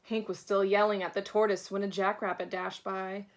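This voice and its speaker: A woman reading a children's picture book aloud with dramatic pauses, acting out the story. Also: mid-range voice, level tone. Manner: deliberate dramatic pauses, acts out characters. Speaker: storybook reader, teacher.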